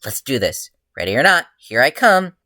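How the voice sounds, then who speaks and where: A low-voiced reader voicing a gleeful, chaotic, teasing adult male hero with an exuberant, crowing manner. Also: big pitch swings, sharp tone, dry recording, clean studio sound. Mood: teasing, eager. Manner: exuberant, cackling, drawn-out crowing.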